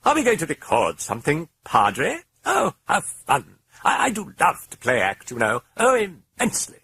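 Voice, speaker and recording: A man voicing a hoarse, foul-mouthed possessing spirit with a British accent, in a very high, raving voice. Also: wildly swooping pitch, moderate pace, pushed and bright, no room sound, full character voice. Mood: mocking cheer. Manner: raving, then mock-cheerful and theatrical.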